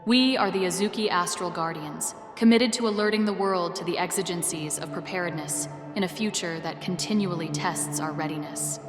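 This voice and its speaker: A woman playing a solemn, benevolent otherworldly guardian, delivering scripted proclamations in a formal, grandiose, measured manner. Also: lowish voice, close to an everyday voice. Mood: solemn, steadfast. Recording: echoey, long reverb, faint music behind.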